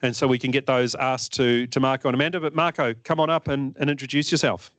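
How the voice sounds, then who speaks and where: A man's voice: medium pitch, fairly even intonation, fast, fairly bright tone, no echo. A man hosting a meetup, introducing the speakers and upbeat as he urges the crowd to ask questions. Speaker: meetup host. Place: meetup room with a live audience.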